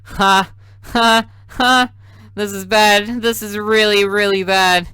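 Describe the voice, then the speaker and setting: Casting: man playing a frantic teenage girl from a visual novel, pitched up, bright tone. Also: level tone, takes it slowly, clear, resonant tone, no room sound, exaggerated voice. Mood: panicked. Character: panicky teenage girl heroine.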